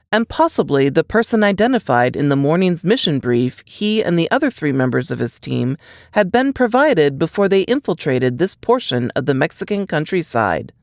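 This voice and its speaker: A woman's voice: sits low, darkish tone, no room sound, clean studio sound. A woman reading scripted fiction as an audiobook narrator.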